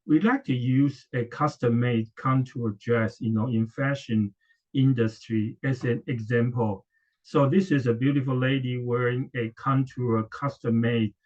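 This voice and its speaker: A middle-aged man from Taiwan, a professor, presenting at an academic conference with frequent hesitations and restarts. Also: mid-range voice. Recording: dull lo-fi audio.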